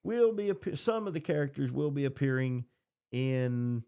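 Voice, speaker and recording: Gravelly American man chatting casually. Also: medium pitch, animated, normal speed, dark tone. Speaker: podcast host. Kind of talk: casual TV reaction chat.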